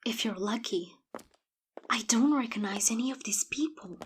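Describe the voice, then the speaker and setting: An actress playing a jaded, dryly sardonic veteran agent, husky and clipped, in audio drama dialogue. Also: female voice, on the high side, moderate pace, a little hiss or hum, natural voice. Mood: grim, dry. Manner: dry, clipped, deadpan.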